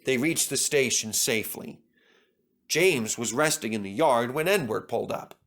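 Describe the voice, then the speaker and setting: A male storyteller reads a children's railway tale with a lively, animated delivery. His voice is bright and forceful, swinging up and down as he narrates. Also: mid-range voice, moderate pace. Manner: expressive, lively. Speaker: storybook reader.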